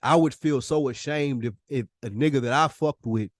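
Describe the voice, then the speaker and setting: A man's voice: mid-range voice, moderate pace, no room sound, studio quality. An American man co-hosting a podcast in casual, slangy, emphatic talk, often acting out imagined dialogue. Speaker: podcast co-host.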